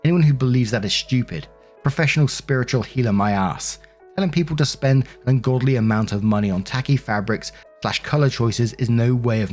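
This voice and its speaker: A man's voice: medium pitch, darkish tone, natural voice. A man reading out the comment of a scornful older wedding guest, sarcastic and dismissive.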